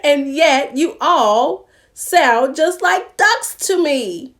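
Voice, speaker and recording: A cheeky little boy duck from a picture book, played by a woman, gleeful and teasing. The voice is high and drawn out, savoring every silly word. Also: lively intonation, extremely slow, a bit bright, soft background music, exaggerated voice. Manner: bellowing animal noises, giggly, teasing. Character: cheeky, gleeful young male puddle duck.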